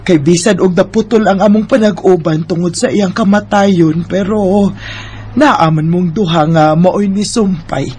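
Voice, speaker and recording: A woman playing an affectionate, sentimental middle-aged mother in a radio drama, with drawn-out emotional delivery. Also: on the low side, darkish tone, a little hiss or hum, natural voice. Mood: wistful.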